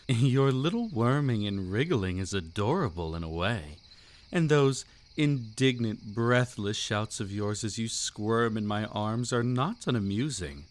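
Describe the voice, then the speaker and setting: Man playing a slow, seductive vampire count. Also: lowish voice, a little hiss or hum. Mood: taunting, possessive. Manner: velvety, taunting, theatrically aristocratic, drawn-out delivery. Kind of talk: scripted romantic roleplay audio, intimate monologue.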